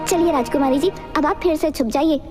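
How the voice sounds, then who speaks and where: A woman voicing an eager, chatty young village girl in an animated story. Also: high voice, a little fast, music in the background, lightly acted. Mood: helpful. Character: eager helpful village girl, a farmer's little sister. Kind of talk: Hindi animated fairy tale acting.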